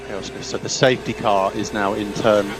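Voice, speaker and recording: A man's voice: on the low side, flat delivery, brisk, over music. A man working as a race engineer, giving clipped, repeated instructions over motorsport team radio. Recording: noisy car team radio.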